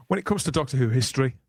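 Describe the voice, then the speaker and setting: A man's voice: medium pitch, vocal fry, darkish tone, husky, dry recording, clean studio sound. A man hosting a casual panel podcast, chatting in wordy, rambling phrases as he presents and introduces guests. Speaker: podcast host.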